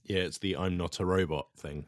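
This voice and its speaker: A man's voice: low voice, steady tone, brisk, no room sound. A man co-hosting a podcast in casual chat, hesitant and full of ums, with dry humour. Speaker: podcast co-host.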